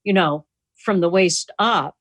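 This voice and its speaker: A woman's voice: on the low side, unhurried, a bit bright, no room sound. Chatty woman hosting an online meeting, dry sound. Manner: chatty, joking, tells anecdotes. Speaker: host of an online club meeting. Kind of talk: casual video-call chat. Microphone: computer mic on a video call.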